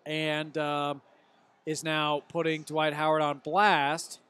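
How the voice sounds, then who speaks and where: A man with a somewhat high voice hosts a live sports stream from a studio mic. He calls the plays between rounds of teasing, argumentative banter with his co-host. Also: monotone, slow, fairly bright tone, smooth. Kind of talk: casual sports chat with play-by-play.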